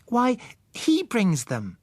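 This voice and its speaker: A man's voice: extremely high pitch, huge pitch swings, slow, no echo, voice pushed up, full character voice. A man voicing an earnest, huffy young girl who explains things pointedly in an audiobook story. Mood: insistent. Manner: matter-of-fact, pointed explaining. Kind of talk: audiobook character acting.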